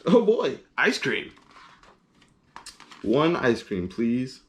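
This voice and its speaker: A man voicing an excitable, childlike elephant from a picture book, exclaiming and agonizing out loud. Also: medium pitch, very slow. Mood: delighted. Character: excitable, childlike elephant torn over sharing his ice cream.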